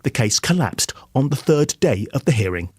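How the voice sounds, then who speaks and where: A man's voice: mid-range voice, big pitch swings, moderate pace, darkish tone, slightly rough, dry recording, clean studio sound. A man giving a scripted news report as an arts correspondent.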